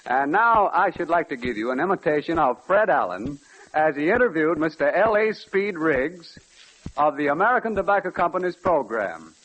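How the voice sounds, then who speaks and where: Courteous, higher-voiced man on old-time radio. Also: very animated, normal speed, fairly bright tone. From North Carolina, US. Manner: courteous, formal. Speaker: guest impressionist. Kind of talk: polite 1940s radio banter. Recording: noisy, crackly 1940s radio broadcast.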